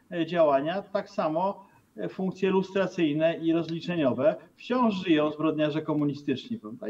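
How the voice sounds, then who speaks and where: A man's voice: fairly high pitch, a little mellow. A middle-aged man commenting as a guest over a video call from a room with bookshelves, hesitant, with many fillers and restarts. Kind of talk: remote TV interview commentary. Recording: distant, dull video-call sound.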